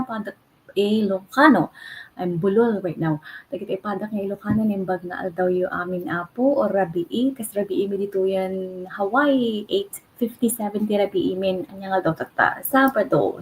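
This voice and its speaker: A woman's voice: mid-range voice, a little mellow, slight background noise, rough recording. Chatty Filipino woman on a home livestream. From Philippines. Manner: chatty, switches between English, Tagalog and Ilocano. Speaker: livestream host. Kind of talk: casual livestream talk.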